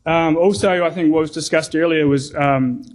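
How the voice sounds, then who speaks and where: A middle-aged man with a New Zealand accent giving a conference presentation, talking deliberately with frequent ums and repeated words. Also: a little high. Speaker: conference speaker.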